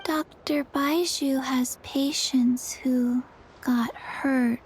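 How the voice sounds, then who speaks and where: A woman voicing a small, forgetful zombie girl in a video game, speaking in a childlike, halting, drowsy deadpan. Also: sits high, slight background noise, poor audio. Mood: placid, deadpan. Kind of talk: video game character dialogue.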